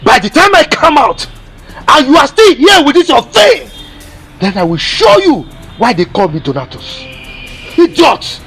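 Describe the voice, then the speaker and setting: High-pitched, hot-tempered Nigerian father. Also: very animated, measured pace, over music. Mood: angry, threatening. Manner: blustering, scolding. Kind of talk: film comedy-drama acting.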